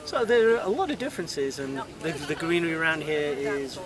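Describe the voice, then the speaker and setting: A friendly British man talks easily in a street interview, pausing on ums as he thinks. His voice sits a little high and sounds some way from the mic. A crowd chatters clearly behind him outdoors. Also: big pitch swings. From United Kingdom. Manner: chatty, friendly, hesitant with fillers. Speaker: tourist interviewee. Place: outdoors at a busy tourist site. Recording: distant mic with crowd noise.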